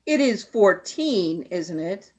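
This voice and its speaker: A woman's voice: medium pitch, takes it slowly. A woman playing a cold alien commander. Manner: flat, clipped commands. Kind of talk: fan radio play acting.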